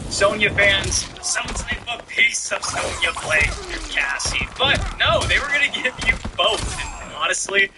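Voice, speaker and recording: A man's voice: high-pitched, big pitch swings, measured pace, hardly any pauses, music in the background. A man giving emphatic, conversational gaming commentary, sharing his opinions as he goes. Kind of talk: opinionated video commentary on fighting game roster news.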